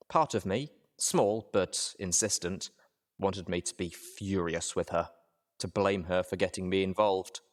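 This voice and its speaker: Low-voiced man narrating a story. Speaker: audiobook reader, show host. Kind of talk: dramatic first-person story narration.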